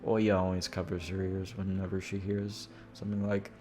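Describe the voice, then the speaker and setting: A man's voice: low-pitched, completely flat delivery, moderate pace, over music. A man streaming a visual novel, reading the narration aloud with informal, casual asides.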